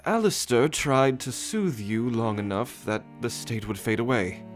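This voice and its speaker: Man playing a slow, gentle, anxious royal caretaker. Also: medium pitch, big pitch swings. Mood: concerned, careful. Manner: hesitant, stammering, soft reassurance. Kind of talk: ASMR audio roleplay acting. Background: orchestral string music.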